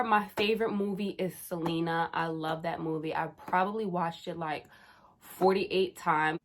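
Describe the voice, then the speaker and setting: A young woman in her twenties talks straight to her viewers in a relaxed, playful vlog style. She drops slang freely and keeps a chatty, conversational flow. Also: mid-range voice. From Caribbean, raised in New Jersey. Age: 20s. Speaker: YouTuber. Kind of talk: casual vlog chat.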